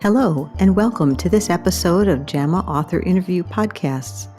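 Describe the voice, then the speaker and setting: An American woman hosts a formal medical interview in a low voice. Her tone is dark and relaxed, and she sounds middle-aged. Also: moderate pace, soft background music. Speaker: podcast host and medical journal editor.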